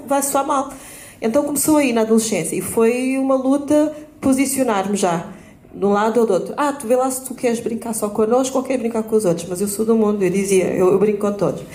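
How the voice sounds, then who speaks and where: A woman's voice: on the high side, dark tone, a little hiss or hum. A woman tells her own story on a panel, candid, acting out the exchanges she recalls. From Portugal. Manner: candid, acts out quoted exchanges. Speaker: debate panelist. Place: event space at a bookshop. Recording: roomy hall.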